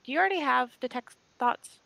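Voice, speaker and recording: Woman gamer with a creaky voice. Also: sits high, brisk, sharp tone. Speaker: tabletop game player. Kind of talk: casual game chat.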